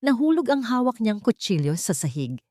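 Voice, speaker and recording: A woman's voice: on the high side, sing-song, fairly quick, darkish tone, no echo, studio quality. A woman reading a scripted dramatic story with suspenseful, emphatic delivery.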